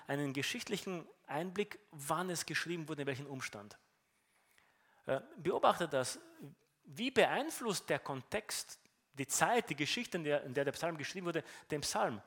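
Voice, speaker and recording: A man's voice: a little high, animated, normal speed, a bit bright. A man with a Romanian accent preaching a sermon in an earnest teaching tone, often repeating words as he restarts.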